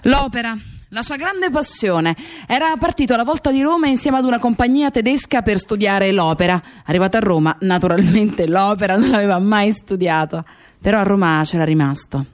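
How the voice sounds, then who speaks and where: Actress for radio play: bereaved woman, nostalgic and affectionate, mid-pitched, reflective storytelling with pauses. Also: natural voice. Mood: fond reminiscence. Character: grieving woman fondly remembering her murdered close friend. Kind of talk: dramatic monologue in radio theatre.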